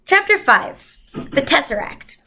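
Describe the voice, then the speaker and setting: High-pitched female voice, storybook read-aloud, explanatory asides, speaking directly to kids. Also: very animated, takes it slowly, noisy background. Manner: explanatory, addressing young listeners directly. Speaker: volunteer reader for a children's club. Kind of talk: read-aloud of a children's novel with simplifying asides.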